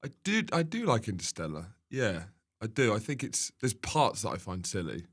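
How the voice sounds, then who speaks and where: A man's voice: on the low side, huge pitch swings, normal speed, fairly bright tone, breathy edge. A young man, a comedian guest, telling comic anecdotes casually with exaggeration and repetition.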